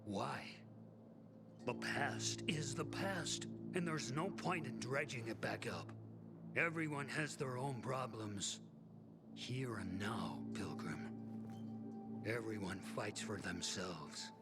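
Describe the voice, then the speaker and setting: Slow, world-weary survivor. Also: male voice, mid-range voice. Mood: dismissive, weary. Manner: slow, brooding, bitter storytelling with pauses. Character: world-weary, resigned male survivor in a plague-walled city. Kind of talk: game cutscene acting. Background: music.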